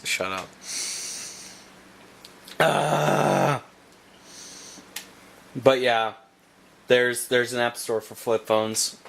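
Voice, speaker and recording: Bright-voiced young man rambling casually. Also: medium pitch, gravelly, a little hiss or hum. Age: young adult. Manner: rambling, loses his train of thought. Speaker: vlogger. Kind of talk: casual chat. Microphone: handheld camera.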